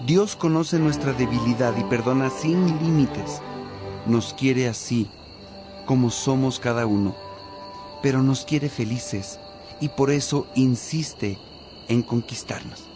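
An actor playing a kindly preacher who reassures listeners in a radio drama sermon. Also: male voice, mid-range voice, slow pace, natural voice. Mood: consoling. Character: kindly, reassuring male preacher of God's mercy. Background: music.

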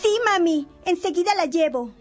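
A woman's voice: very high-pitched, expressive, moderate pace, soft background music. A woman playing a sweet, obedient, well-bred adolescent daughter in a radio drama, polite and demure.

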